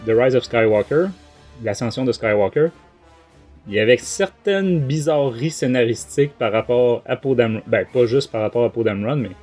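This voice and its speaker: A Québécois man with a mellow, soft-edged voice talks casually as a podcast host reviewing books. He rambles in colloquial speech, often hesitating, at an average pitch, with faint music behind him. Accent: Québécois French. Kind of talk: casual book review chat.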